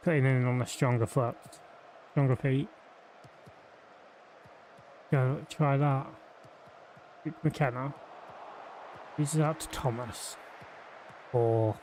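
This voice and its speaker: A man's voice: medium pitch, fairly even intonation, takes it slowly, pauses often, a little mellow, a little hiss or hum, poor audio. A man from Yorkshire streaming a football management game, chatting casually and thinking aloud with fillers and restarts. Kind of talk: live game commentary and chat.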